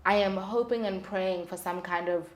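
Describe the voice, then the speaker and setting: A woman's voice: medium pitch, fairly even intonation, moderate pace. A woman talking candidly and earnestly as a guest in a conversational interview. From South Africa. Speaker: singer-songwriter, interview guest.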